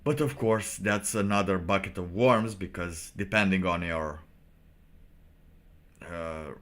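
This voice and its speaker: A man with a very low voice talks off the cuff like a streamer giving commentary. He philosophizes in a halting, rambling way, with a blunt, irreverent edge. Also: a bit bright. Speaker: live streamer reacting to motivational videos.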